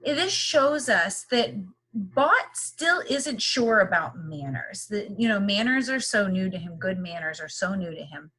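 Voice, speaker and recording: A woman's voice: medium pitch, very animated, a little slow, sharp tone, breathy edge. A woman leading an interactive read-aloud lesson for young children, explaining simply and checking in with repeated questions. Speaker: teacher reading a picture book aloud.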